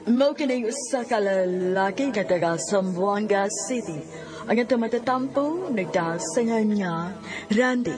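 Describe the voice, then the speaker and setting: A woman's voice: medium pitch, expressive, a little slow, music in the background. A woman announcing and narrating a radio drama in a scripted, dramatic style.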